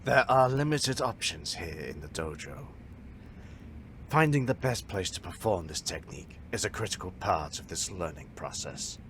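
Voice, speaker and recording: A man playing a proud, stern martial arts master who instructs a pupil in formal, measured speech for an audio drama. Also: low-pitched, very animated, a bit bright, slight background noise. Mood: instructive. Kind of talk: fan audio drama acting.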